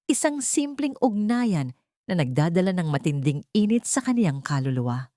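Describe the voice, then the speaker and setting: A woman narrating a scripted dramatic story in an earnest, sentimental, measured way. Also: on the low side, sing-song, mellow, no room sound.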